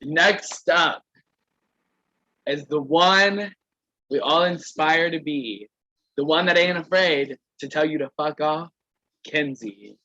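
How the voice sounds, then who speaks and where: A man's voice: on the high side, slow pace, pauses often, sharp tone, no echo, highs cut off. A man hosting an online game over a video call, introducing players one by one with a playful, sassy manner. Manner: playful, sassy catchphrase "period". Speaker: host of an online reality game.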